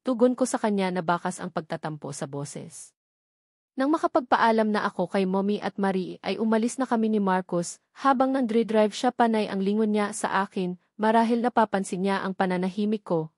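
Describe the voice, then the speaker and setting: A woman narrates a first-person romance story. Her voice sits at an average pitch for a woman. The sound is dry, with very little room in it. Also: a little mellow, no echo. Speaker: audiobook narrator.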